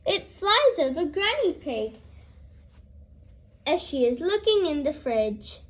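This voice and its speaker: High-pitched, animated woman storyteller. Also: slow. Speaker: host and storyteller of children's read-alouds. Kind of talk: expressive children's storybook read-aloud.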